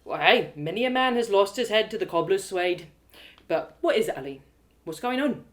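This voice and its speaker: A woman's voice: medium pitch, lively intonation, moderate pace, close to an everyday voice. A woman voicing a kindly, plain-spoken male cobbler who frets over his friend in a fantasy audio story. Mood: surprised, friendly. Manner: folksy, plain-spoken, protective. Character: kindly gruff working man, cobbler, worried friend.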